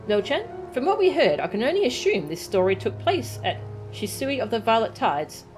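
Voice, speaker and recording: A woman's voice: a little high, sing-song, normal speed, faint music behind, pitched above the performer's own voice, rough recording. A woman voicing a polite, earnest young woman, reading her game lines in a formal, courteous way. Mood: grateful.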